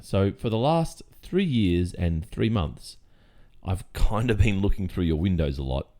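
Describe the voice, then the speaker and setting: Creepy, sweetly possessive Australian boyfriend played by a man for ASMR audio drama: unhurried, hesitant delivery with very changeable melody. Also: deep voice, expressive, dark tone. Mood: defensive, sweet. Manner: halting, drawn-out phrasing with hesitant murmurs and nervous chuckles. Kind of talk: ASMR roleplay, intimate monologue addressed to listener.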